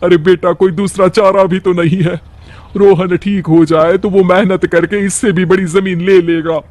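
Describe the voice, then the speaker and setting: A man's voice: high-pitched, flat delivery, moderate pace, a little mellow, natural voice. A man voicing a worried, caring older village mother-in-law, fretful and affectionate.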